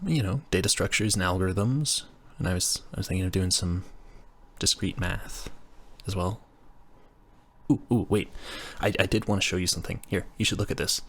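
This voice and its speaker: Deep-voiced nerdy, stammering young guy, acted by a man. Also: husky, heavily acted. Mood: flustered, eager. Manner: stammering, rambling, self-deprecating. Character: nerdy, flustered young male engineering student roommate. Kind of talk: intimate roleplay audio, casual scripted chat.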